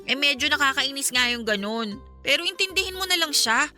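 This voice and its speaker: A woman's voice: high-pitched, brisk, pushed and bright, faint music behind. A woman acting a supportive young friend in a Filipino radio drama, consoling and advising conversationally with a Filipino accent.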